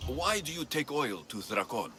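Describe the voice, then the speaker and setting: A capable Greek mercenary in a video game. The voice is forceful and sharp, mid-pitched, with lively inflection and a dry, sardonic edge. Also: male voice, moderate pace, slight background noise. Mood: probing. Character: wry, capable male Greek mercenary for hire. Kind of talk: video game cutscene acting.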